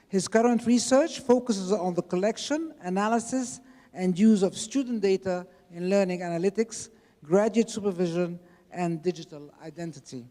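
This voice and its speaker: A man hosting a conference, introducing the keynote speaker in a halting way with light laughs. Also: high voice, mellow. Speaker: event host. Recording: lecture hall reverb.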